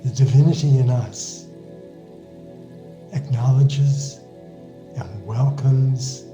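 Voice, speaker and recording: A man speaking as a meditation co-host, delivering spiritual greetings and teachings in deliberate, short phrases. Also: mid-range voice, reverberant, over music. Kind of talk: measured spiritual greeting and recitation.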